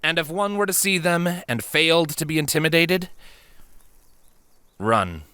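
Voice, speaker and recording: A man reading scripted fiction as an audiobook narrator, expressive and measured in a dramatic storytelling style. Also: fairly high pitch, smooth, dry recording.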